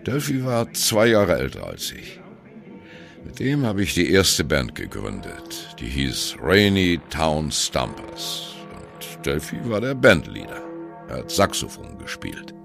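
Casting: wry old jazz veteran narrating a radio play, bottomless gravelly bass, dramatic storyteller's phrasing. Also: male voice, huge pitch swings, a bit bright, full character voice. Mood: wry, nostalgic. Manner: wry, dramatic, deliberate phrasing with colloquial turns. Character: ageing male jazz musician, wry, reminiscing on postwar youth. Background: music.

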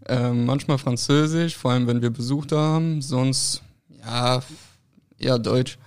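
A man's voice: medium pitch, measured pace, a little mellow, smooth. A young man born in France and raised in Berlin, a football player giving short, hesitant answers with many fillers. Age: young adult. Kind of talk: casual interview answers.

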